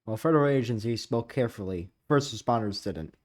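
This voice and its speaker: A man's voice: mid-range voice, expressive, fast talker. A man hosting a reaction video, reading captions aloud and adding casual commentary.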